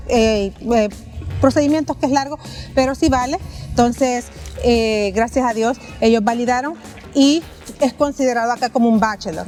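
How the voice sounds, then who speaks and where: A Salvadoran woman in her thirties speaks in a high, bright voice with a Salvadoran Spanish accent, telling her story in an earnest, unhurried way with frequent hesitations. Music plays clearly underneath the recording. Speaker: interviewee. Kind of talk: street interview, personal story. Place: outdoors on a city street.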